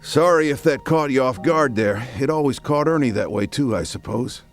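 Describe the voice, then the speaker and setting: A man's voice: medium pitch, lively intonation, normal speed, faint music behind. A man hosting and narrating a story in a scripted, conversational style. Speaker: host and narrator.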